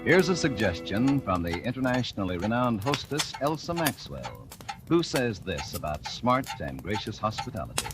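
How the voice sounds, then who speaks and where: A man's voice: lowish voice, very animated, moderate pace, over music. Persuasive vintage radio ad announcer man. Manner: smooth, persuasive, emphatic. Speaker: commercial announcer. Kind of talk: 1940s radio advertisement. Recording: old noisy radio broadcast.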